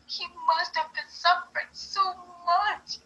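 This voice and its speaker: A woman voicing a grieving mother wolf who pleads in a high, shaky, tearful voice. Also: fairly even intonation, slow, bright, forceful tone, lo-fi sound, faint music behind, full character voice. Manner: high, shaky, crying pleas. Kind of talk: amateur reading of a courtroom tale.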